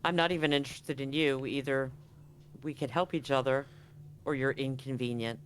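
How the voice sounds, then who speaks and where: A man's voice: mid-range voice, fairly even intonation, normal speed, fairly bright tone, close to an everyday voice. A man playing a cold, arrogant female corporate agent in a tabletop game, speaking with dismissive control. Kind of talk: tabletop roleplay acting. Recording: live stream with background noise.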